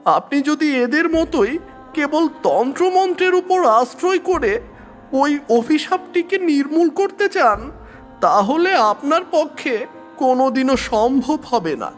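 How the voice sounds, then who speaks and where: A woman's voice: high voice, very animated, measured pace, faint music behind. A woman voicing an earnest, insistent young village boy, pleading dramatically in an audio horror story. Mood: urgent, pleading.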